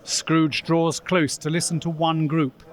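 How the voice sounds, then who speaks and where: A man performing as the narrator of a radio play, solemn and measured in his dramatic storytelling. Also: on the high side, level tone.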